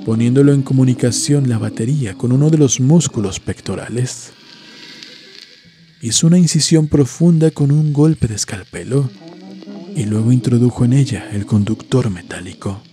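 A man reading a scripted horror story in a measured, literary storytelling manner. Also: medium pitch, dark tone. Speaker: narrator. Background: music.

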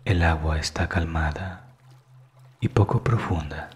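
A man narrating a scripted guided meditation in a calm, soothing manner that is hushed at times. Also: extremely low pitch, measured pace, slightly rough.

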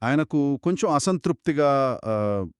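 A man co-narrating a children's moral story in an expressive, conversational way. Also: mid-range voice, slow, smooth, no room sound, studio quality. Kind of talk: conversational two-person storytelling.

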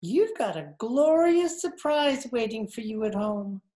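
A man's voice: very high-pitched, expressive, unhurried, mellow. A man voicing a gleeful, silly male sidekick with a giggly, gushing manner in old-time radio comedy. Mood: gleefully teasing. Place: indoor room at home. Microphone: computer mic on video call.

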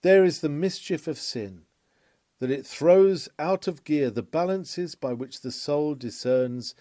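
A man reading a classic sermon from a script in a solemn, measured, oratorical manner with emphatic phrasing. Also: a little high, mellow, no echo, studio quality.

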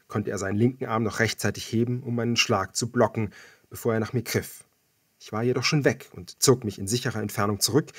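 A man reading scripted first-person thriller narration as an audiobook narrator, measured and dramatic. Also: on the low side, slightly rough, no room sound, clean studio sound.

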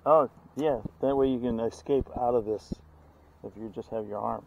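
A man's voice: medium pitch, steady tone, normal speed, gravelly, highs cut off. A middle-aged man talking casually to viewers outdoors in a steep forest as he drags a log, narrating as he works. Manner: chatty, narrates to viewers while hauling. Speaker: vlogger filming trail work. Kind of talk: casual chat while working. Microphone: body-worn action camera. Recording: outdoor camera mic with loud noise.

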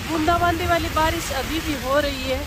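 A woman in her thirties, a travel vlogger, chatting excitedly as she describes the road from inside a moving car. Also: high-pitched, normal speed. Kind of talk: casual travel vlog commentary. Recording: noisy car ride with road noise.

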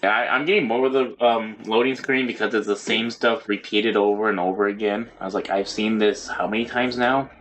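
A man's voice: on the low side, steady tone, fairly quick, few pauses, fairly bright tone, rough recording. A man chatting casually with friends while playing a video game, rambling and narrating his moves as he goes. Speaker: gamer. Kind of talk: casual gaming chat.

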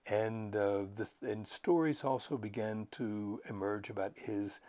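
An older man, a professor, lecturing to camera in a measured, thoughtful way with frequent pauses and fillers. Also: on the low side, fairly even intonation, a little mellow. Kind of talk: unscripted educational talk to camera.

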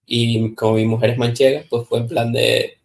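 A man's voice: mid-range voice, level tone, moderate pace, vocal fry. A man in his thirties from the Canary Islands, a guest chatting casually on a podcast in a studio lounge, laughing often and acting out little quotes. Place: indoor studio lounge set, seated on sofa. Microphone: stand mic on the floor.

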